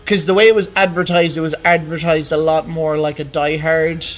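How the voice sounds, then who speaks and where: Higher-voiced man in casual chat. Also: flat delivery, a little fast, faint music behind. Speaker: podcast co-host. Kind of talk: casual chat about video games and films.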